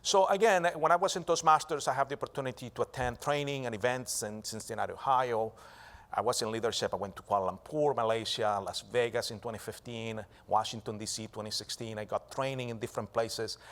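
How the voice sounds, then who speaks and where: A man giving a live keynote talk, animated, with frequent restarts and self-corrections, often asking the audience "right?". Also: mid-range voice, fast. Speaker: keynote speaker.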